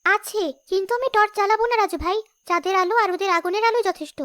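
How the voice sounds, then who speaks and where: A woman voicing a brave, sharp-witted young village girl in a cartoon story, assertive and reasoning. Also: extremely high pitch, steady tone, fast. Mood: confident, urgent.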